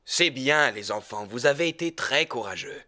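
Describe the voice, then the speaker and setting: A performer voicing a calm, kindly doctor bear in a children's cartoon dub, with a reassuring manner. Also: medium pitch, normal speed. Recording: clear music behind.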